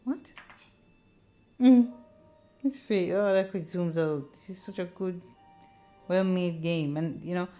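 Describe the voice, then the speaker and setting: A woman's voice: on the low side, slow, long pauses, mellow, soft background music. A woman narrating a visual novel playthrough, reading the text aloud with casual asides.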